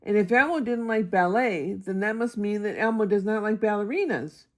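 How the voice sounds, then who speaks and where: A woman's voice: mid-range voice, normal speed. A woman narrating a children's picture book aloud as a storybook reader.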